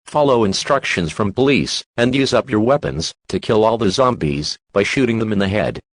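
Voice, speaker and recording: A man reading a scripted emergency alert broadcast in a steady, formal announcing manner. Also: lowish voice, normal speed, no echo. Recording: music playing behind.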